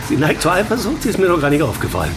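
An actor playing a blunt, teasing best friend in middle age, mocking and incredulous in radio play banter. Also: male voice, on the high side, wildly swooping pitch, very fast, music in the background. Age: middle-aged. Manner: mocking, interrogating, emphatic.

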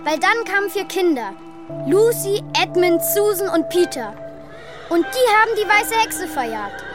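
Young dreamy prince, extremely high pitch, childlike, earnest, radio play, music behind. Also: female voice, sing-song, unhurried, full character voice. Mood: insistent. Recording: music under the voice.

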